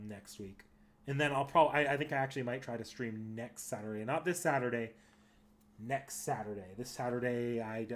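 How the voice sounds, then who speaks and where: A man streaming games, chatting casually and unscripted, hesitant and often correcting himself as he thinks aloud. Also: mid-range voice. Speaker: live game streamer.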